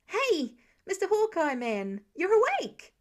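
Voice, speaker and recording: A woman voicing an excitable, awkward teenage boy superhero who rambles eagerly in an audiobook-style story. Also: extremely high pitch, slow pace, voice pushed up, full character voice. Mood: excited, calling out.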